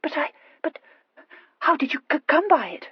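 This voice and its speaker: A woman's voice: high voice, lively intonation, moderate pace, slightly rough, dry recording, muffled recording, pitched above the performer's own voice. A woman voicing an impulsive, anxious young aristocratic wife who stammers and gushes earnestly in an audiobook story. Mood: astonished. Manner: hesitant, gushing confession with emphatic outbursts.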